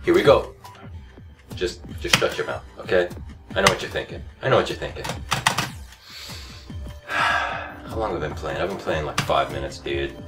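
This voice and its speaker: A man in his thirties doing comedic game commentary at home, cocky and self-hyping, going hoarse as he swings from low mutters to shouted outbursts. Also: unhurried, long pauses. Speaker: comedy gaming host. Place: home room, indoors. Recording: somewhat distant mic, loud game music behind.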